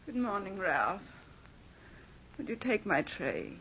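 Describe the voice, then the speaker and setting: An actress playing a genteel, devoted older aunt with a weak heart in an old-time radio drama. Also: female voice, medium pitch, very animated, slow pace, a bit bright, breathy edge, heavily acted. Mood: weary, gentle. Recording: dull vintage radio recording.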